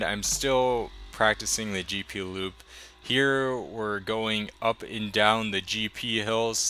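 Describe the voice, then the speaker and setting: A young man talking casually over his riding footage as a motovlogger, relaxed and enthusiastic, a little rambling. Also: fairly low pitch, a little hiss or hum.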